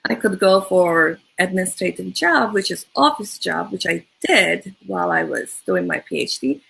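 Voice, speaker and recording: A woman's voice: lowish voice, animated, normal speed, creaky, a bit bright, husky, rough recording. A woman from Turkey with a Turkish accent, speaking thoughtfully as an interview guest, often restarting her sentences. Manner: thoughtful, with frequent restarts and fillers. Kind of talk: casual interview talk.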